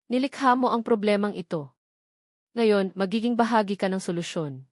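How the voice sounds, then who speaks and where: A woman's voice: medium pitch, moderate pace, dry recording, close to an everyday voice. Icy, impatient male tycoon, read by a woman, curt and domineering, audiobook romance drama, dry close sound. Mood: cold, commanding. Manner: curt, commanding. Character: cold, commanding billionaire man, controlling and impatient.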